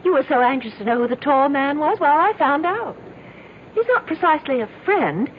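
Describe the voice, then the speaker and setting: Offhand affectionate young wife, evasive, lively swooping pitch, vintage radio drama, thin narrow sound. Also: female voice, a little fast. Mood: breezy, evasive. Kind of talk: old-time radio drama acting. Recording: tinny, narrow old radio recording, faint noise.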